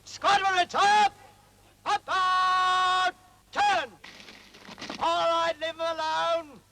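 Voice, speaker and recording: An actor playing a strict British army corporal drilling new recruits with clipped commands, in a 1940s film. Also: male voice, extremely high pitch, level tone, drawn-out words, creaky, pushed and bright, a little hiss or hum, heavily acted.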